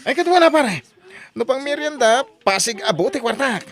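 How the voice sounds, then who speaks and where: A woman's voice: high-pitched, lively intonation, normal speed. A woman playing a boastful gambling husband. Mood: bragging. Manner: boastful, brushes off worries. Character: reckless gambling husband, middle-aged man. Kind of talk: audio drama acting.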